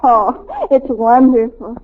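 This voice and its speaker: High-pitched voice, star-struck teenage girl, gushing, melodramatic, old narrowband radio comedy recording. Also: steady tone, slow pace. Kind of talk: 1940s radio comedy acting.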